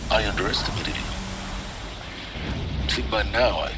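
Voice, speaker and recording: Man playing a deep, cool, learned mentor. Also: expressive, very noisy, natural voice. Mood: dry, impatient. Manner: dry, measured, eloquent. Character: cool, learned, condescending male mentor, a lifelong secret-seeker.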